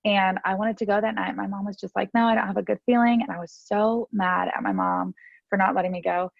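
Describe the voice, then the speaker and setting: A woman talks in a candid, chatty way, full of fillers. Her voice has a gravelly, fry-laden edge. The sound is dry and a bit muffled, with no room behind it. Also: medium pitch, fairly even intonation, fairly quick, no echo. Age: 30s. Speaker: podcast guest. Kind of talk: casual interview storytelling. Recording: dry, slightly muffled audio.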